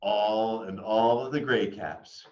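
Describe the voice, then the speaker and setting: An American man reading a picture book aloud to children with dramatic, deliberate delivery. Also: on the low side, steady tone, a little mellow, lo-fi sound. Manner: dramatic, deliberate storytelling with questions to listeners. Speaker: school read-aloud storyteller.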